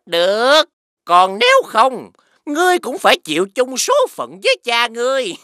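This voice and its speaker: A man voicing an arrogant, demanding foreign envoy in an animated fairy tale, with a haughty, scolding delivery. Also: very high-pitched, normal speed, dry recording, studio quality, full character voice. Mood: smug, formal. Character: arrogant, demanding male envoy of a great kingdom. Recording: music behind the voice.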